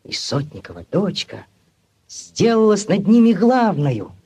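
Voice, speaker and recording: An actor playing an ardent young village lad in love, in theatrical 1940s Soviet radio drama style. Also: male voice, sits high, unhurried, slightly rough, full character voice. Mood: storytelling. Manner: theatrical, expressive storytelling. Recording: vintage 1940s radio recording.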